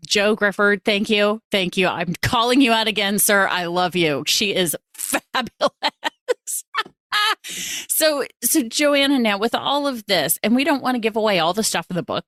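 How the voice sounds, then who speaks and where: A woman's voice: medium pitch, a bit bright, no room sound, clean studio sound. A woman hosting a podcast and coaching, chatting in a casual, emphatic and gushing way, often repeating phrases for effect. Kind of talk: casual, animated interview chat.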